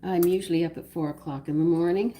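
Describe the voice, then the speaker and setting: Deep-voiced woman, hoarse, chatting through a recipe. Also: steady tone, fast talker, warm tone. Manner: chatty and homey, talking through each step. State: hoarse, losing her voice. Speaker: cooking show host. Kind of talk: live-streamed cooking demonstration, greeting viewers by name. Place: home kitchen. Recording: noisy home kitchen live stream. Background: clinking dishes and cutlery.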